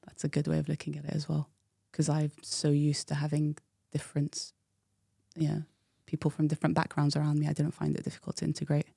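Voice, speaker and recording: A woman in her thirties, originally from the UK, talks through her past in a frank, reflective way. Her voice has a gravelly, creaky texture. The recording is dry and close, with no room sound. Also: low voice, completely flat delivery, quick pace, warm tone, no room sound. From United Kingdom. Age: 30s. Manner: reflective, frank storytelling with frequent fillers. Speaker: guest. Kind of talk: candid personal interview.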